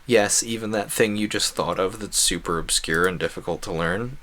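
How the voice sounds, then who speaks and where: Low-pitched man rambling casually on stream. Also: normal speed, a bit bright. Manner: talkative, musing at length. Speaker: video game livestreamer. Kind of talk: casual rambling livestream chat over gameplay.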